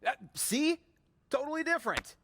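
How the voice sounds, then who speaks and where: A man's voice: extremely high pitch, sing-song, moderate pace, a bit bright, big-room sound, full character voice. An actor playing a defensive, sarcastic wrestling champion in a video game, a man with a wry, casual way of talking. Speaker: wrestling champion and show co-host. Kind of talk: scripted video game cutscene acting.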